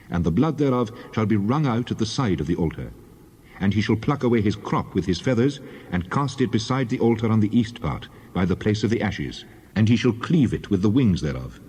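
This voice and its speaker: A man playing God as a solemn, commanding lawgiver in a dramatized scripture reading, speaking in measured declarations. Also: lowish voice, mellow, husky. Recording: long cathedral-like reverb.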